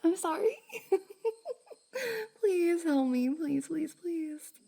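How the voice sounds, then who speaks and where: A woman playing a shy, bubbly young cosplayer and affectionate best friend in an intimate roleplay ASMR, soft, hesitant and giggly. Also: extremely high pitch, extremely slow, exaggerated voice. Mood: sheepish, pleading. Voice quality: soft, breathy, slightly husky. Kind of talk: F4M roleplay ASMR, intimate one-sided conversation.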